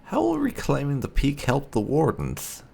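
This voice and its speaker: A male gaming host reads out dialogue in character. His medium-pitched voice moves up and down a great deal and often drops into creaky fry. The delivery is deliberate and theatrical. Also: huge pitch swings, warm tone. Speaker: gaming channel host, player. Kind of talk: reading game dialogue choices aloud in character.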